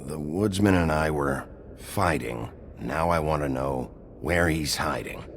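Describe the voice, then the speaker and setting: Very deep, gravelly gruff sheriff. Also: male voice, animated, measured pace, slight background noise, exaggerated voice. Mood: sardonic. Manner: terse, dry, questioning. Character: gruff, blunt male sheriff, tough but fair. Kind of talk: video game voice acting.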